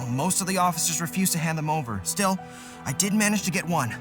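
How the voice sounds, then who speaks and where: A man's voice: a little high, lively intonation, normal speed, natural voice. An actor playing a dutiful, stern young male army general who reports formally, in dramatic video game style. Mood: troubled. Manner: formal military reporting, deferential. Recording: music playing behind.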